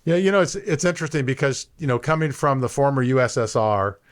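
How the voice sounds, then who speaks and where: A man's voice: medium pitch, expressive, fast. A man in his forties hosting a real estate podcast in an easygoing, chatty way. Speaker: podcast host, mortgage broker. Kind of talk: podcast chat and scripted intro.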